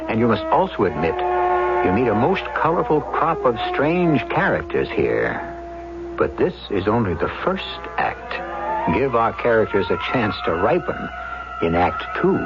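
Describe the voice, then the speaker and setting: A man's voice: on the low side, big pitch swings, unhurried. A man hosting a radio drama with wry, theatrical scripted narration. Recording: muffled vintage radio, loud music behind. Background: loud music.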